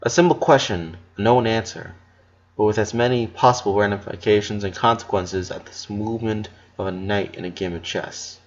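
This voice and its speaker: A man's voice: fairly low pitch, normal speed, highs cut off. A man reading fiction aloud as a dramatic narration, occasionally stumbling over a word. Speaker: fan fiction reader.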